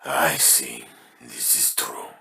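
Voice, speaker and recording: A man's voice: extremely low pitch, fairly even intonation, slow pace, pushed and bright, heavily acted. An actor playing a devoted robot warrior in a video game, solemn, grand and earnest in his declamatory delivery. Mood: fervent, solemn. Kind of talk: dramatic video game voice acting.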